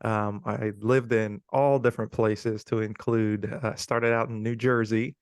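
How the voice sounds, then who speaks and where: A man in his forties, from Guyana, talks through an interview in an easygoing, chatty way, pausing on plenty of ums. His voice is smooth and resonant. It sits close and dry, with no room sound around it. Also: fairly low pitch, dry recording. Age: 40s. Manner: relaxed, chatty, frequent ums. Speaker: podcast guest. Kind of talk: casual interview talk.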